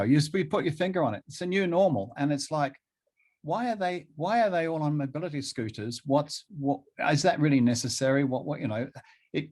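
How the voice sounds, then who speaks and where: Middle-aged man talking in a halting, emphatic way. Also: a little high, a little mellow. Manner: halting, repeats phrases for emphasis. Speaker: interview guest. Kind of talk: conversational interview.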